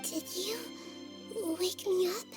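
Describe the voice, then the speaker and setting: High young girlish voice, small deity, earnest, soft-spoken, slow, game cutscene, music behind. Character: gentle childlike female deity, earnest and thoughtful. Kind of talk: video game cutscene acting.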